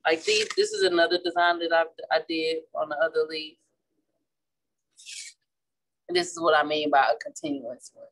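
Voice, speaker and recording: A woman's voice: sits low, fast, low-quality recording. A woman in her thirties from Missouri with a Midwestern accent, chatting casually as she demonstrates painting at a flower shop table. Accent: Midwestern American. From St. Louis, Missouri. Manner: chatty, relaxed, restarts often while working. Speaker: florist and class instructor. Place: indoor flower shop worktable.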